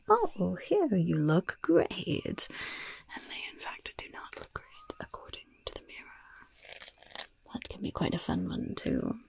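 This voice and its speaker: A mischievous goblin shopkeeper, acted out by a woman, murmurs in a heavily crackling whisper for an ASMR roleplay. The delivery is slow, coaxing and quietly gleeful, close on the microphone, like a sly peddler of cursed wares. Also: lowish voice, heavily acted. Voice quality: breathy, gravelly whisper.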